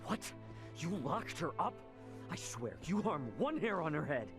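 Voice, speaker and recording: A man's voice: on the high side, very animated, a little slow. An actor playing a young male hero who talks bluntly and casually in a video game. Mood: alarmed. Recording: game cutscene with music behind.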